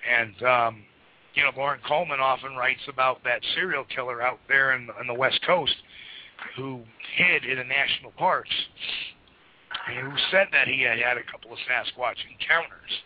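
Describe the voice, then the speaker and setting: Hoarse guy hosting talk radio, rambly, lots of um and you know, kinda muffled sound. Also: medium pitch, moderate pace, noisy background. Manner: rambling, with many fillers and restarts. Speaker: radio show host. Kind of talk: casual call-in radio talk.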